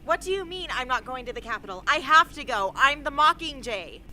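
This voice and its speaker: A woman's voice: high voice, animated, brisk, music in the background. An actress playing a defiant, guarded young woman rebel fighter in an amateur radio play, speaking in a blunt, sardonic way. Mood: indignant. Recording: noisy amateur recording.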